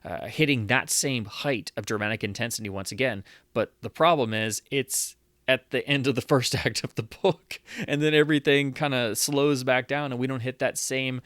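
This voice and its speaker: A man giving an unscripted, analytical book review, speaking thoughtfully and haltingly as he works through his points. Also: mid-range voice, steady tone, a bit bright, no room sound, clean studio sound. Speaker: book reviewer, channel host.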